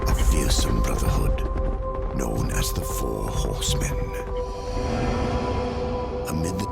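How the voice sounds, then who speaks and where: A man playing a solemn, menacing ancient chronicler, narrating an epic game prologue in a grave, gravelly voice. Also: very deep voice, full character voice. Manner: grave, measured, portentous delivery. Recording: loud music under the voice. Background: loud music.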